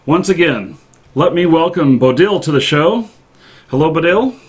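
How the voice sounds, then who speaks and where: A man hosting a casual live-stream interview, speaking informally and haltingly as he thinks aloud. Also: a little high, animated. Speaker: live stream show host.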